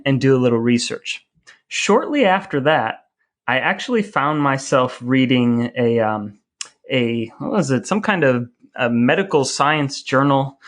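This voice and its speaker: A man's voice: mid-range voice, a bit bright. Male co-host, thirties to forties, mid-range voice, wry and rambling, casual conversational storytelling. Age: 30s or 40s. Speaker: podcast co-host. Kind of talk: casual bantering chat.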